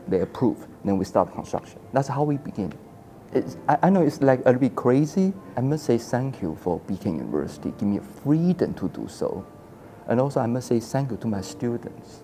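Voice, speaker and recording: A man's voice: on the low side, mellow. A man in his forties from Taiwan, an architecture historian telling his story in a casual interview, acting out quoted dialogue and laughing often. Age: 40s.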